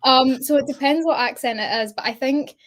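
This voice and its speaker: A young Scottish actress chatting in an interview, bubbly and animated, laughing often as she tells stories. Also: sits high, a little fast. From Scotland. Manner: bubbly, giggly, animated storytelling. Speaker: actress guest. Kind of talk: casual interview chat.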